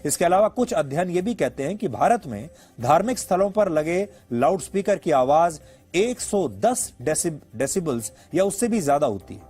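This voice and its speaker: High-pitched male news anchor over music. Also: sing-song, normal speed, a little mellow, breathy edge. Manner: emphatic, rhetorical, explanatory. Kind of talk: news commentary, editorial monologue.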